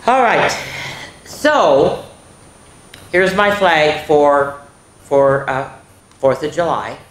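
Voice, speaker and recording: A woman reads a picture book aloud to children as a story time host. Her voice is on the low side, and she tosses expressive asides to her listeners. The recording has a roomy echo. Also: extremely slow. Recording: roomy, echoing indoor sound.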